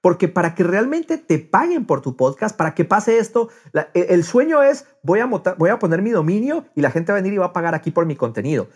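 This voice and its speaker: A man's voice: sits high, big pitch swings, a little fast, hardly any pauses, breathy edge. A man in a casual podcast conversation, speaking with enthusiasm and repeating words as he thinks aloud. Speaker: podcast host.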